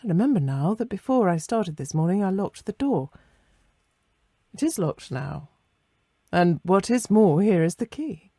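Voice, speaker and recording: A woman voicing a fussy, puzzled scholarly gentleman in a storybook, prim and hesitant in manner. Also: lowish voice, sing-song, measured pace, breathy edge, no room sound, studio quality, heavily acted. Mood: concerned.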